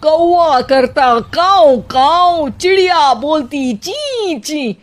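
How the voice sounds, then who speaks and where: A haughty old mother-in-law from a comic moral story, acted by a woman. She draws out mocking rhymes slowly. Her pitch is raised. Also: expressive, full character voice. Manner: drawn-out rhyming recitation.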